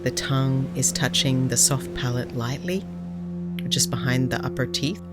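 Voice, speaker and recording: A woman speaking as a Buddhist nun and meditation teacher, giving calm, gentle, unhurried guided meditation instruction. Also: extremely low pitch, loud music behind the voice.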